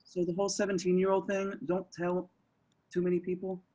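A man's voice: high voice, completely flat delivery, brisk, dark tone, dull audio. A man playing an awkward, defensive slacker in his twenties at a table read, hesitant and rambling. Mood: awkward, casual. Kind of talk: table-read acting over video call. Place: remote group video call.

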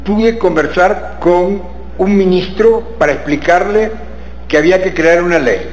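A man's voice: fairly high pitch, a little mellow, slight background noise. Older Argentine man in a roomy chamber. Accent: Argentine Spanish with Italian traces. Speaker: invited guest speaker, law professor. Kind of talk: formal address to a committee. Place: large legislative chamber. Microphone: gooseneck microphone at a lectern. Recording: roomy chamber with faint ambience.

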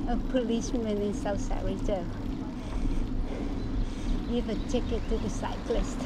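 A woman's voice: mid-range voice, fairly even intonation, measured pace. A woman vlogging while cycling, giving short running remarks in simple, broken English, recorded on a handlebar action camera outdoors. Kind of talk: casual running commentary while riding. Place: outdoors on a bike along roads and a fishing pier. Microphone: action camera mounted on handlebars. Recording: loud wind and traffic noise outdoors.

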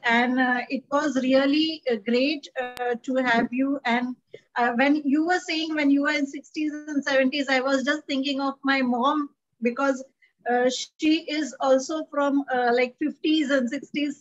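A woman moderates a video call in a high voice, politely thanking and introducing speakers. Her audio is slightly muffled. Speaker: webinar host. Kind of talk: moderating a webinar.

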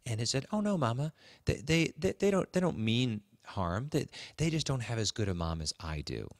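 A man co-hosting a casual, reflective faith podcast, talking haltingly and repeating words as he goes. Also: mid-range voice, sing-song, dry recording, clean studio sound. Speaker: podcast co-host. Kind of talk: casual conversational talk with religious reflection.

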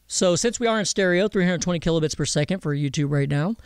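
Guy walking thru a mixing tutorial, higher voice, smooth and crisp, real dry close sound. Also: fast, dry recording. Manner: explanatory, checks understanding with "okay?", "all right?". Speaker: audio mixing instructor. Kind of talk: software tutorial, informal step-by-step explaining.

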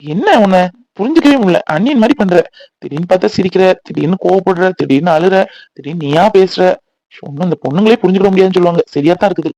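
An actor playing an earnest, persistent young bridegroom in a Tamil serial, talkative and pleading in a boyish way. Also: male voice, sits high, lively intonation, quick pace, warm tone, dry recording, rough recording. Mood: puzzled, hurt. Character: earnest, persistent young bridegroom devoted to his scornful wife.

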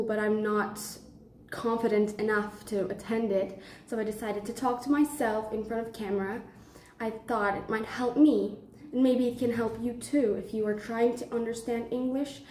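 A young woman with a Turkish accent, a non-native English speaker, chatting casually to camera as a student vlogger in simple, halting phrases. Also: on the high side, a little mellow, echoey room, a little hiss or hum. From Turkey. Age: young adult. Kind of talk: casual vlog talk to camera.

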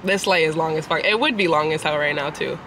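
A young woman vlogging from her car, chatty and animated, sounding nasal after a cold. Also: low voice, quick pace. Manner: chatty, animated, swears casually. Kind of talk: casual vlog chat. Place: parked car at a traffic light. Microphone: camera on the dashboard. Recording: car with street noise behind.

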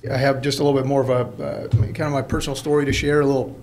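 A man in his thirties from Mexico and Illinois, telling his personal story at a podium in a halting, plain-spoken, informal way. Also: medium pitch, level tone, darkish tone, a little hiss or hum. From born in Mexico, grew up in Peoria, Illinois. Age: 30s. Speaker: college alumnus guest speaker. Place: indoor college meeting room. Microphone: podium gooseneck microphone.

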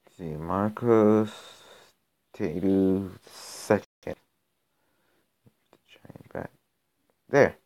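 A young man hosting a mobile game channel, his voice a bit raspy from being sick, rambling and cutting himself off as he comments on his matches. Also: deep voice, extremely slow, pauses often, a little mellow. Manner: rambling, self-interrupting, gets exasperated during play. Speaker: gaming channel host. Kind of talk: casual gameplay commentary.

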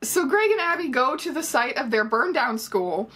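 A young woman speaks casually to camera in a small bedroom. Her voice sits fairly high and has a husky edge. She tells things in an animated, sarcastic way, like a vlogger giving a mocking review. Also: moderate pace. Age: 20s. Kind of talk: casual scripted-loose commentary to camera.

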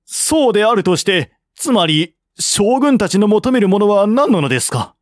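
A man's voice: sits high, very animated, normal speed, no echo, clean studio sound, pitched above the performer's own voice. A man reading the part of a courteous, shrewd peace envoy in a historical novel, speaking politely and formally. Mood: pressing, questioning. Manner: polite, formal, reasoning step by step.

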